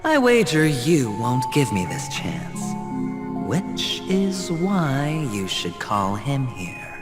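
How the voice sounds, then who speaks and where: An actor playing a self-assured, theatrical gambler and schemer in a video game, taunting and deliberate in his delivery. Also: medium pitch, big pitch swings. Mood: bold, daring. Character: cocky, theatrical male gambler and schemer on trial. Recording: reverberant, with loud music behind.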